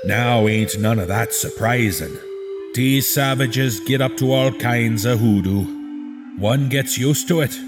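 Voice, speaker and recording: A man's voice: mid-range voice, slow, music in the background, natural voice. A man voicing a crude, callous frontiersman reading his journal aloud in a folksy backwoods drawl with coarse grammar. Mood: sneering, gossipy. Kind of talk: read-aloud journal entry in a horror story.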